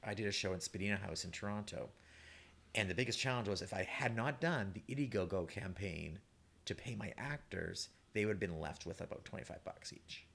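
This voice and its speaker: A man's voice: lowish voice, brisk, gravelly, a bit bright, breathy edge. A man talking casually as a playwright in a panel discussion, often restarting and repeating his words. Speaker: playwright and theatre maker.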